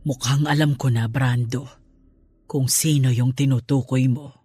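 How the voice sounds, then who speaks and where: A woman's voice: very deep voice, steady tone, a little slow, mellow, slightly rough, no echo, soft background music, full character voice. A woman playing a stern but caring middle-aged religious aunt in an emphatic Tagalog radio drama. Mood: subdued, serious. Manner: emphatic, scolding then consoling.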